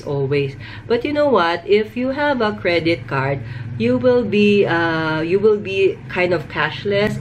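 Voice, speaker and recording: A woman in her thirties from the Philippines with a Filipino accent, giving casual, emphatic advice in a vlog and repeating words for stress. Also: lowish voice. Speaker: vlogger. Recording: noticeable background noise.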